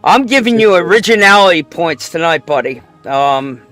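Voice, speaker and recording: Lively high-voiced male host. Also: moderate pace, no echo, faint music behind. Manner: joking, laughs often, calls guest bro and buddy. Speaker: show host. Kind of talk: playful rapid-fire question game.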